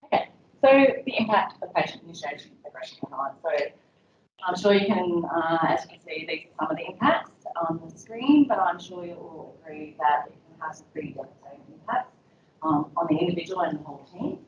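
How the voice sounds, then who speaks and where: Woman, low voice, chatty presenter with lots of fillers, echoey room, recorded from far off. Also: expressive, fairly quick, slight background noise. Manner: conversational, lots of ums and you-knows. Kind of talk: educational talk to health staff. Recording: dull, echoey room audio from afar.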